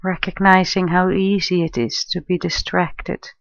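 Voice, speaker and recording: A woman guides a meditation at a very slow pace, with long pauses between gentle phrases. Her delivery is soothing and unhurried. The recording is dry and a little dull. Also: on the low side, level tone, no room sound. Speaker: meditation guide, life coach and therapist.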